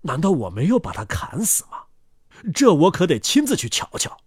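A man acts out a greedy storybook brute, dim and menacing. The delivery swings dramatically in pitch and sounds close and dry. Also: wildly swooping pitch, normal speed, a little mellow, husky, no echo, heavily acted. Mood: baffled.

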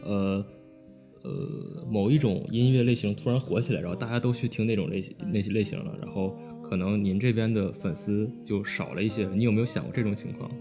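A man's voice: fairly low pitch, fairly even intonation, brisk, over music. A man hosting a casual interview chat, agreeable and affirming as he talks. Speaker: podcast interviewer, host.

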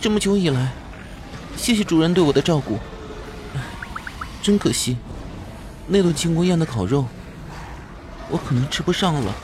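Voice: medium pitch, lively intonation, normal speed, pauses often, close to an everyday voice. An actor playing a small, devoted monster pet. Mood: sad, resolute. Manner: earnest, polite servant-like address. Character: small gentle loyal monster pet, soft-spoken and devoted. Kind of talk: Chinese radio drama acting. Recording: music behind the voice.

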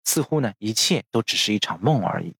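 A man's voice: on the low side, expressive, fairly quick, creaky, a bit bright, breathy edge, no echo. A man hosting a podcast, narrating literary history from a script in a bookish, explanatory manner. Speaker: podcast host. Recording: music under the voice.

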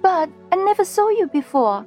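A woman voicing a curious, innocent little princess, speaking high and eager. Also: sing-song, moderate pace, dark tone, soft background music. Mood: wondering.

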